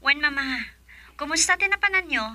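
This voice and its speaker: A woman's voice: sits high, expressive, moderate pace, pushed and bright, no echo, heavily acted. A woman playing a dutiful, anxious young daughter in a radio drama, with an Ilocano Filipino accent. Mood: polite, concerned.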